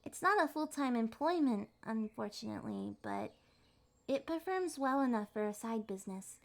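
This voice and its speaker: High-pitched flustered fox girl, acted by a woman. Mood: proud, bashful. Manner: flustered, hesitant, primly wordy, awkwardly insisting on maturity. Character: adorkable young adult female kitsune fox, flustered, primly formal. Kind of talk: ASMR roleplay, acted one-sided date conversation.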